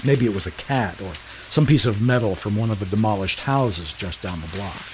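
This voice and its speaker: A man's voice: fairly low pitch, moderate pace, natural voice. Guy playing a broke 1930s night watchman telling his tale, wry n hesitant, radio play, rain hissing behind him. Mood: uneasy. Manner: wry, colloquial, hesitant storytelling. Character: down-on-his-luck sober night watchman in 1938 Baltimore. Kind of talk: first-person radio drama narration. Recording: voice over steady rain noise.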